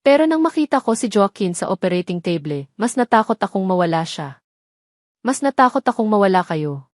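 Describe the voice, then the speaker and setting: A woman's voice: mid-range voice, very animated, a little fast, no room sound, studio quality, natural voice. Woman reading as sincere, regretful man in love. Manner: earnest, soft-spoken. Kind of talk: audio drama storytelling.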